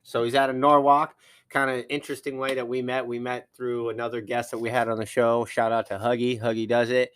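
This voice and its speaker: A man's voice: medium pitch, level tone, a little fast, fairly bright tone, a little hiss or hum. Laid-back young man hosting a studio podcast. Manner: laid-back, rambling with fillers. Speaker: podcast host. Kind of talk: casual studio chat. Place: recording studio.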